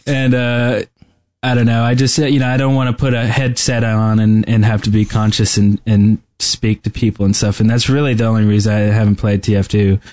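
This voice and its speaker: A man co-hosting a podcast in casual chat, rambling and halting as he talks. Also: lowish voice, level tone, a little mellow. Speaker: podcast co-host. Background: music.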